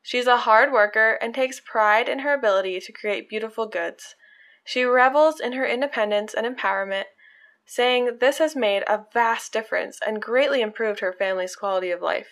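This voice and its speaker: A woman's voice: on the high side, moderate pace, a bit bright. Earnest woman reading stories aloud. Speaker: podcast host.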